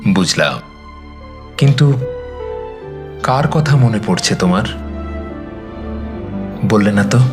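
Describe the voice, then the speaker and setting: A man narrating a dramatised story in a chatty, expressive way, with conversational, teasing asides. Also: lowish voice, slow, pauses often. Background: background music.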